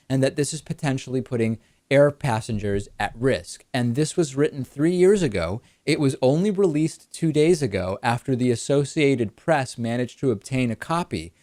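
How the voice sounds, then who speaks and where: Male talk show host, mid-pitched, brisk and explanatory, dry studio mic. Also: a little mellow, dry recording. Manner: brisk explanatory, rhetorical questions. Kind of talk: political talk show commentary.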